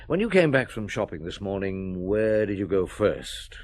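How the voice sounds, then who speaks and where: A calm, probing police chief. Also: male voice, low voice, moderate pace. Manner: calm, coaxing questioning. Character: patient, probing middle-aged police chief. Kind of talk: radio drama acting.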